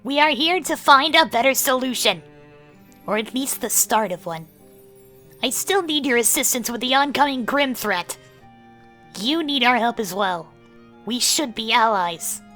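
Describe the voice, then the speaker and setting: A young woman acting an earnest, friendly and informal young queen in an expressive fan dub. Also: a little high, a little slow, soft background music. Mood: exasperated, firm.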